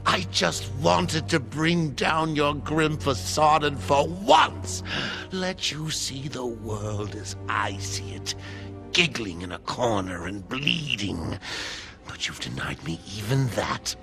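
Video game clown villain, gravelly, drawn-out taunts, music behind. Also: male voice, mid-range voice, expressive, exaggerated voice. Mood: bitter. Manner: sing-song taunting with sudden shouts and giggles. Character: manic grinning male clown villain, theatrical and mocking. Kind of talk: video game cutscene acting.

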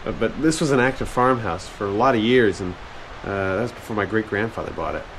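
A man's voice: fairly low pitch, a little fast, a bit bright, a little hiss or hum, low-quality recording. An actor playing an eager, defensive teenage boy who tells spooky stories in a naturalistic horror audio drama. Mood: hesitant, insistent. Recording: music under the dialogue.

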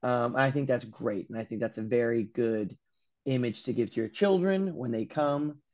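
Young American man, dark lo-fi call. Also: mid-range voice, moderate pace. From Los Angeles, USA. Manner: animated storyteller, acts out quotes, swears casually. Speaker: guest. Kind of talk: casual interview chat. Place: room at home by a window. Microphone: video call. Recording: dull lo-fi call audio.

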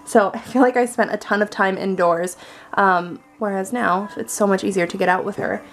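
A woman's voice: mid-range voice, fairly even intonation, normal speed. A young woman chatting casually and playfully for a family vlog, with lots of exclamations. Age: young adult. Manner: bubbly, playful, exclaims often. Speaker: vlogger. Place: car and family home. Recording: noisy car interior.

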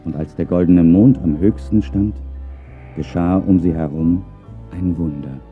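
A man's voice: deep voice, a little slow. A man narrating a children's audio drama expressively from a script. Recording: narrow phone-like sound, faint music.

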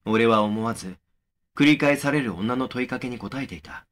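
A man playing a young man who narrates his eerie experience in a horror audio drama, measured and serious. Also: mid-range voice, a bit bright, a little hiss or hum, natural voice. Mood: serious, suspenseful. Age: young adult. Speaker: first-person narrator of a horror story.

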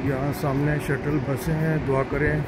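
A man giving casual on-location tour commentary as a travel vlogger, explaining things in a halting way with pauses mid-sentence. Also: on the high side, little pitch movement. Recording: noisy on-location recording with vehicle ambience. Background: clear ambient travel and vehicle noise.

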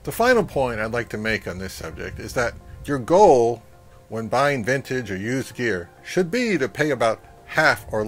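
A middle-aged man giving advice to camera as a channel host, speaking in a measured, instructive way. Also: mid-range voice, a bit bright. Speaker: host of a vintage audio channel. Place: outdoors, among trees or on a porch.

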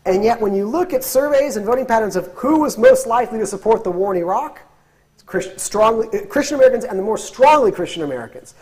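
A man's voice: sits high, lively intonation, quick pace, darkish tone, poor audio. A man in his thirties giving a lecture in a classroom, speaking animatedly with frequent restarts and fillers, on a lapel mic. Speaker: guest lecturer. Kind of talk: lecture with audience questions. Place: classroom with whiteboard.

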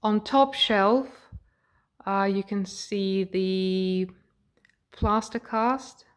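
A woman with a British accent, a portrait artist, calmly explaining her home studio as she films it on a phone. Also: medium pitch, extremely slow. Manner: calm, explanatory, pointing things out. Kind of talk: guided studio tour narration. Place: home art studio, indoors.

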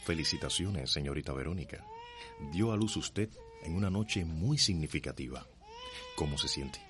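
An actor playing a self-assured, wry doctor in a period radio drama, speaking formally and jovially. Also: male voice, rumbling low, expressive, moderate pace. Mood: wry, courteous.

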